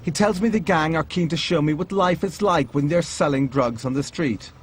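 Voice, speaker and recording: A man narrating a documentary in a measured, first-person scripted voice-over. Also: fairly high pitch, a little hiss or hum. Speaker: documentary presenter. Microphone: studio voice-over. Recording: over loud score music.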